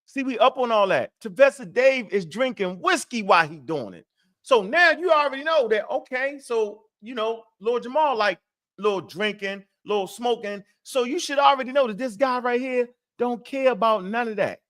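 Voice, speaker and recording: A man with a high voice co-hosts a live online talk, animated and full of street slang. He keeps tossing in asides to the people he is talking with. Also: normal speed. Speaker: co-host. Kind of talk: casual live-stream chat.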